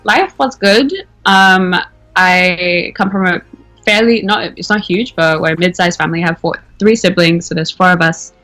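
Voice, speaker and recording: A woman's voice: on the low side, normal speed, fairly bright tone, low-quality recording. Chatty young woman, faint music. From Nigeria. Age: young adult. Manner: chatty, many fillers and self-corrections. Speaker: anonymous caller. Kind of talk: casual interview.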